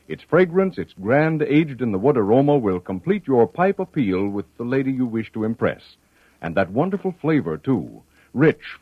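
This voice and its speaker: A man's voice: mid-range voice, husky. A man reading a scripted commercial as a 1940s radio announcer. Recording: narrow tinny 1940s radio, roomy echo.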